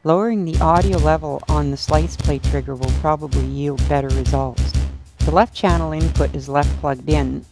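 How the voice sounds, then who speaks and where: A woman's voice: low voice, normal speed, creaky. A woman demonstrator giving scripted instructional narration, with drum loops and glitchy effects behind her. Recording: loud drum loop music behind. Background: drum loops and glitchy synth effects.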